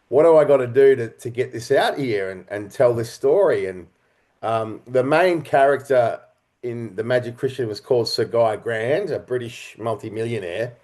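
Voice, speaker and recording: A middle-aged man speaking as an interview guest, telling stories in a reflective, halting and earnest way. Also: medium pitch, a little mellow. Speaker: interview guest, writer, director and performer.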